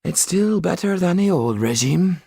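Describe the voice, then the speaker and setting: A man's voice: high-pitched, animated, unhurried, vocal fry, a little mellow, breathy edge, no echo, studio quality, pitched above the performer's own voice, full character voice. A man voicing an anxious, theatrical, self-pitying theatre owner in a storybook, fretful, exclamatory and mournful. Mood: weak, wary.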